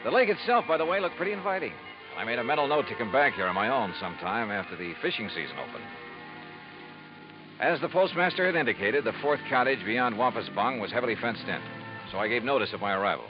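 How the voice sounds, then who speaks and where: A man's voice: medium pitch, sing-song, quick pace, lightly acted. An actor playing a hard-boiled male insurance investigator who narrates in an easygoing, wry first person, in 1950s radio drama acting. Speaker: narrator and lead. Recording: dull old radio recording with music.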